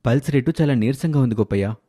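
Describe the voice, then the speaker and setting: A man playing a brisk, reproving village doctor in an animated moral story. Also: mid-range voice, close to an everyday voice. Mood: concerned, reproving.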